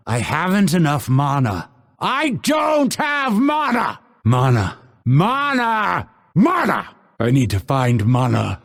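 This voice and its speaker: A man voicing a cold, proud assassin in a video game, sardonic and impatient, with a gravelly, husky, theatrical and clipped delivery. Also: medium pitch, lightly acted. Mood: frustrated, urgent. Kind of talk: video game character voice lines.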